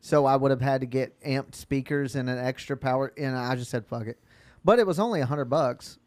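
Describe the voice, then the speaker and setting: A man's voice: medium pitch, fairly quick, darkish tone, no room sound. A man in his thirties co-hosting a podcast, explaining things in a matter-of-fact way and swearing casually. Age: 30s. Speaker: podcast co-host. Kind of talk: casual chat.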